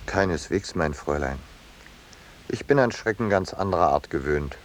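A peaceable, gallant landscape painter with a deep and highly animated voice. He speaks with dry, courteous irony and small hesitations, as in a 1950s radio play. A faint hiss lies over the old recording. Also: normal speed. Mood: politely gallant. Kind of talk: 1950s German radio play, narration and dialogue.

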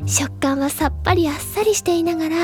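A woman playing a bubbly, stubborn high school girl who gushes and lectures theatrically about literature in an audio drama. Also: fast, darkish tone, soft background music. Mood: passionate lecturing. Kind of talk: Japanese anime-style audio drama acting.

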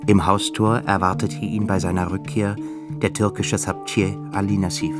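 Low-voiced male narrator over music. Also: flat delivery, moderate pace. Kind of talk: scripted literary narration in a radio drama.

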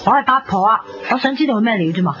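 A woman's voice: on the high side, lively intonation, rapid-fire, background noise, lightly acted. An actress playing a nosy, teasing, bossy young woman in a radio drama, speaking Hong Kong Cantonese in a blunt, exclamatory manner. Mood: indignant, prying. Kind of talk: 1990s radio drama dialogue. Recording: dull lo-fi radio recording. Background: prominent music.

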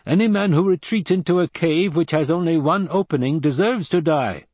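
Man voicing a proud, high-pitched desert warrior. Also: moderate pace, a little mellow, breathy edge, no echo. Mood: matter-of-fact, proud. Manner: matter-of-fact, casual about battle. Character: proud male desert warrior, calm, blunt, fiercely eager. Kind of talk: audiobook character dialogue.

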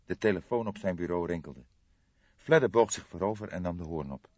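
A man reading a detective novel aloud as scripted narration, in a measured storytelling manner. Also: sits low. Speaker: audiobook narrator. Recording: dull lo-fi, boxy roomy sound.

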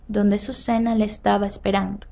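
A woman's voice: mid-range voice, little pitch movement, normal speed, creaky, a little mellow. Storytelling woman, faint background noise. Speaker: narrator. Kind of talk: scripted children's story narration.

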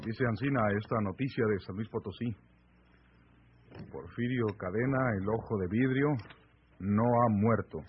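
An actor playing a stern, questioning rural man with a Mexican accent, speaking deliberately and emphatically in an old radio serial. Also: medium pitch, natural voice. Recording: noisy, dull vintage AM radio recording.

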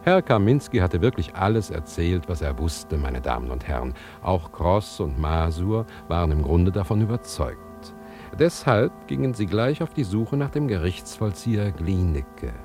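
A man's voice: low-pitched, big pitch swings, normal speed, a little mellow. An actor playing a knowing male storyteller who narrates a scripted radio drama to his audience, with guitar music behind. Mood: teasing, presentational.

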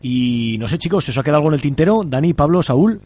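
A man's voice: fairly high pitch, expressive, fast talker, a little mellow, slightly rough. A man with a Spanish accent from Aragon hosting a casual radio interview in an informal, colloquial way. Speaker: radio show host.

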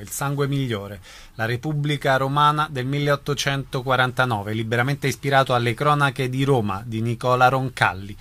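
A man reading a scripted radio announcement in a measured, informative way. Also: medium pitch, fairly bright tone.

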